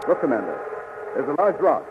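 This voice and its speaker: Brisk radio serial officer played by a man. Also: medium pitch, big pitch swings, natural voice. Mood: alert. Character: alert, respectful subordinate military officer. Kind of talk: 1930s radio serial acting. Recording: noisy, muffled 1930s radio recording.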